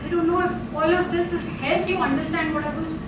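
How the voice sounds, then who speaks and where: An actress playing a restless wife who craves chaos, speaking on stage in hesitant, repeated phrases that can build toward excitement. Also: female voice, very high voice, brisk, very noisy. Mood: hesitant, earnest. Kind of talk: live stage play acting. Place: theatre stage, live performance. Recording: very echoey theatre, distant mic.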